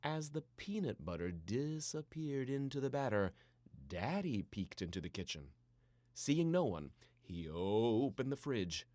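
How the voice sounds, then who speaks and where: Lively male children's storybook narrator. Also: mid-range voice, dry recording. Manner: playful, theatrical storytelling with dramatic pauses.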